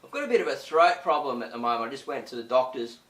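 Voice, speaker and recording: A man hosting a casual gardening tutorial, chatty and full of fillers, his voice slightly croaky. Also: medium pitch, sing-song, fast, a bit bright, reverberant, recorded from a distance. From Australia. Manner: chatty, many "uh" and "you know" fillers. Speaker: gardening channel host.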